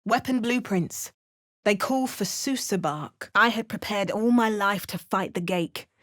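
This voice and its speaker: A woman acts out a determined adventurer from a fantasy role-playing game. She is wry and measured, with a theatrical edge. The sound is dry and close, with no room around it. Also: medium pitch, no room sound, lightly acted. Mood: wry, resolute. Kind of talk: video game voice lines, dramatic narration and incantations.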